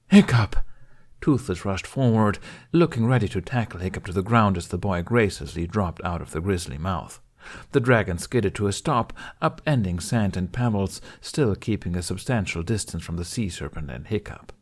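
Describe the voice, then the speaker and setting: A man's voice: low-pitched, lively intonation, normal speed. A man reading scripted fantasy fiction as an audiobook narrator.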